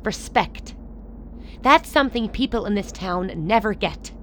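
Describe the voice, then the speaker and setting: A woman playing a hard-nosed female leader in a radio play. She is blunt, commanding and drily sardonic, at a middle pitch. A light layer of noise sits behind her. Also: measured pace, lightly acted. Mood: bitter, challenging. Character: hard, pragmatic chairwoman ruling an enclave, sardonic and commanding. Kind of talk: radio drama acting.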